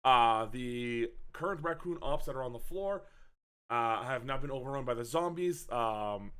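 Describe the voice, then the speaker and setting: A man hosting a podcast, rambling through a profane, casual movie recap. He swings from loud outbursts to muttering, and his voice is wearing out. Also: mid-range voice, expressive, moderate pace, clean tone. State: losing his voice. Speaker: podcast host.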